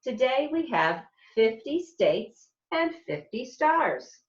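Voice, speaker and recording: A roomy, slightly dull recording of a woman reading as a patient storybook schoolteacher, Mister. The delivery is friendly and explanatory, with lively pitch. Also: takes it slowly, exaggerated voice. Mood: patient, explaining.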